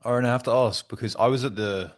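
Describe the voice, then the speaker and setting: A man's voice: mid-range voice, fairly even intonation, quick pace. A man interviewing a guest as a podcast panelist, in a conversational style.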